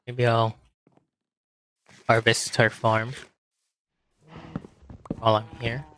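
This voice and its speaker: A man with a hoarse voice, quietly talking himself through a game as he plays, in a relaxed, slow, muttering way. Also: mid-range voice, a little hiss or hum, rough recording. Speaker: gaming video host. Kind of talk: casual gameplay commentary.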